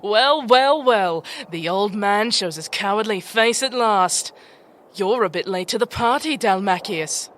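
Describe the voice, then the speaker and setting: A woman's voice: mid-range voice, animated, measured pace, fairly bright tone, slight background noise. A woman playing a fierce, brash demon chieftain in a fantasy audio drama, with a swaggering, coarse manner. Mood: mocking. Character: brash, taunting female demon chieftain, fierce war leader.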